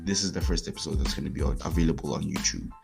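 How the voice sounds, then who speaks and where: A man's voice: rumbling low, rapid-fire, gravelly, music in the background. A young man, hosting his own faith podcast while a bit sick, talking casually with many fillers and restarts. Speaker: podcast host.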